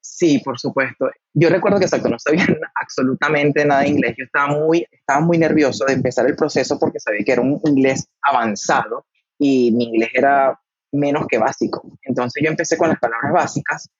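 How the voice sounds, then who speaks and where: Man in his 30s, husky voice, halting recall over a dull dry remote line. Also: mid-range voice, no room sound. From Venezuela. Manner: hesitant, repeats words while recalling. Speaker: guest, doctor. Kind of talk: casual interview answers.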